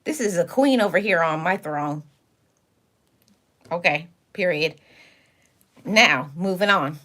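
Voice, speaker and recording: A woman's voice: on the low side, slow pace, fairly bright tone. A woman hosting a livestream, talking casually and sassily to her chat, giving motivational advice in a preachy, straight-talking way. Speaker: livestream host.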